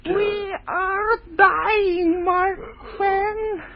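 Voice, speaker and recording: A man playing an anguished, dying scientist in 1940s radio horror, wailing slowly and melodramatically. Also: extremely high pitch, fairly even intonation, noisy background, full character voice. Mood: despairing, dying. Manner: slow, wailing, melodramatic delivery. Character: anguished dying scientist, aging horribly fast. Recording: dull old radio recording.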